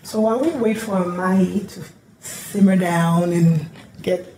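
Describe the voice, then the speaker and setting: A woman's voice: lowish voice, fairly even intonation, unhurried, dark tone. A woman in her thirties hosting a cooking show, bantering playfully and feeding words to her guest. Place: home kitchen, indoors. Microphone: unseen mic, medium camera shot. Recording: echoey kitchen with clear background noise.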